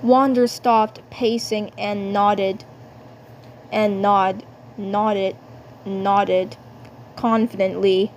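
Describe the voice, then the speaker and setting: A woman reading scripted fan-dub narration, halting and often repeating her phrases. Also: mid-range voice, steady tone, a little mellow. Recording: faint background noise.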